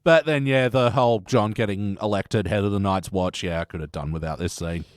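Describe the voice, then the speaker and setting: A man's voice: fairly low pitch, a little fast, no room sound, clean studio sound. A man co-hosting a podcast, giving opinions in a casual, wry and sweary way. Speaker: podcast co-host. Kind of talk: casual chat recapping a TV show.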